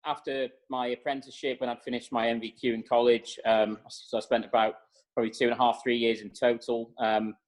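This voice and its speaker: A man in his thirties talks over a video call with a flat, even tone. He answers at a steady pace, with many fillers and small restarts. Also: medium pitch, fairly quick, poor audio. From North Wales. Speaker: executive chef, guest. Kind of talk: interview answers on a webinar.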